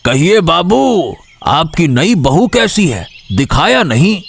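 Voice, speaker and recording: A man's voice: on the high side, big pitch swings, slow pace, vocal fry, breathy edge, full character voice. A man voicing a nosy, know-it-all household gossip in a cartoon story, prying and insinuating. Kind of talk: Hindi cartoon story acting.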